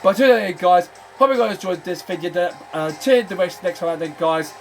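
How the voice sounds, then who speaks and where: A man's voice: high-pitched, fairly quick, faint music behind. A man hosting a gaming channel, commenting on a racing management game while losing his voice, with a repetitive habit of saying "then" and "I'm afraid".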